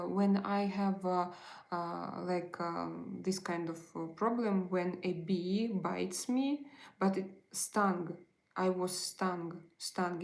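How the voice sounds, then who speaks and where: A woman with a Russian accent hosts a relaxed livestream, speaking slowly with a gravelly edge to her voice. She chats warmly with her viewers, pausing often over her words. Her voice carries a roomy echo. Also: mid-range voice. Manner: chatty, hesitant, greets and answers chat messages. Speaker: livestream host. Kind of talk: casual livestream chat with viewers.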